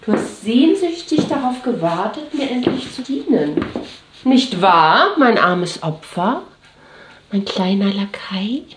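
A woman playing a haughty mistress in an intimate audio drama, commanding and condescending, often slipping into breathy whispers. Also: medium pitch, very animated, slow pace, roomy sound, slight background noise. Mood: stern, teasing. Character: haughty dominatrix mistress commanding her servant. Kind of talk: scripted German audio drama monologue, intimate.

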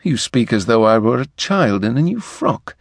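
A man's voice: medium pitch, sing-song, normal speed, no room sound, studio quality. A male reader voicing an awkward, proud young man in an audiobook, stiff and slightly huffy. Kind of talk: audiobook character voice.

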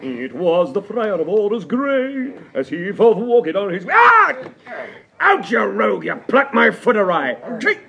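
Blustering bully of a husband, radio Shakespeare comedy. High-set, hugely varied pitch, theatrical, roaring or falsely sweet. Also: male voice, very animated, measured pace, creaky, highs cut off, crowd noise, heavily acted. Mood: raging bluster. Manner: theatrical, swings from roaring to mock-sweet coaxing. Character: blustering, tyrannical husband, loud master taming his bride.

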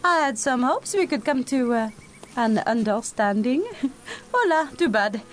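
A woman's voice: a little high, animated, a little slow, slight background noise. A woman playing a suave, gleefully sinister mad scientist in a comic sci-fi radio drama, gracious and theatrical in her menace. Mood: sweetly menacing.

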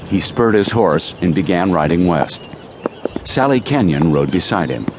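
A man's voice: low voice, expressive, normal speed, background noise. A man reading scripted narration for a dramatized Western audiobook. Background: music.

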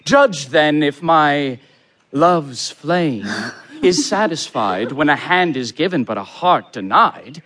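A man playing an eager young gentleman, a smooth-talking romantic in a theatrical verse comedy, with ardent, flowery delivery. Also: a little high, animated, slow pace, exaggerated voice. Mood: ardent, pleading. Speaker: actor.

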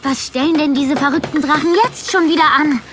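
A woman's voice: high voice, fairly even intonation, a little fast, over music, natural voice. A woman playing a cheeky, exasperated little girl creature in a children's cartoon audio drama, sounding childlike. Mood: dismayed.

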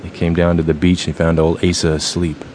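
Man playing low, ominous fraternity ringleader. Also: level tone, background noise, close to an everyday voice. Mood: ominous, hushed. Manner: slow theatrical storytelling, commanding. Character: solemn, ominous fraternity leader telling ghost tales and giving orders. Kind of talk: dramatic radio play acting.

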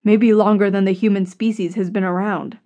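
A woman's voice: medium pitch, fairly even intonation, brisk, warm tone, dull audio, close to an everyday voice. A woman narrator voicing a weary, wry, long-lived man in an audiobook story. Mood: dark.

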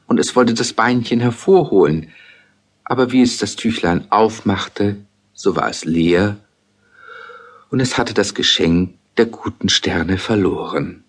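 A husky-voiced man narrating a fairy tale in a measured, storytelling manner. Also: low-pitched, expressive.

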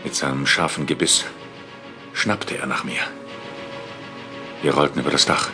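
Low-voiced, dogged police inspector. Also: male voice, unhurried, over music. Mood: dramatic narration. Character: male police inspector, sceptical, dogged monster hunter. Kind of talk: German audio drama acting and first-person narration.

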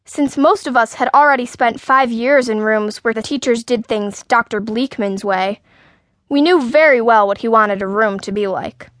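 Woman audiobook narrator, higher-pitched, dry close sound, playing an outspoken schoolgirl telling her own story. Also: a bit bright, dry recording. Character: indignant outspoken sixth-grade girl telling her story. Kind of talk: scripted first-person children's story narration.

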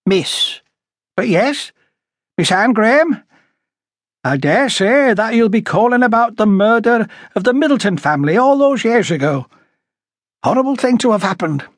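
A man voicing a blunt, prickly older working-class woman with a high, rasping voice, emphatic and chatty, as audiobook dialogue. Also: expressive, normal speed, long pauses, no echo, clean studio sound. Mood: brisk, curious.

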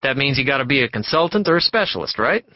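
A loud, boastful man who never stops talking, as a male narrator puts him on. He booms and pushes his words out fast, in a muffled, dry audiobook recording. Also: sing-song, dry recording, natural voice. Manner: booming, pushy, rapid-fire. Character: loud brash heavy-set male botanist, boastful chatterbox. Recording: dull dry studio recording.

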